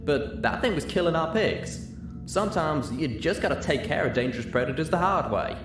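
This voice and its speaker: A man's voice: fairly high pitch, lively intonation, normal speed, echoey room, over music, pitched above the performer's own voice, lightly acted. A man voicing a plain-spoken female farm pony with a folksy Southern US drawl, in a story reading. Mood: apologetic.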